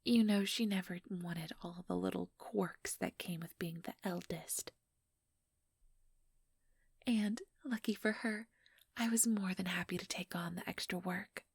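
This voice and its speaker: A woman voicing an ambitious, teasing vampire in an ASMR roleplay, breathy and whispery, with a sugary, mocking condescension. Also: mid-range voice, fairly even intonation, a little slow, long pauses, no room sound, clean studio sound. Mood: smug, scheming. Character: ambitious, teasing female vampire, smugly scheming.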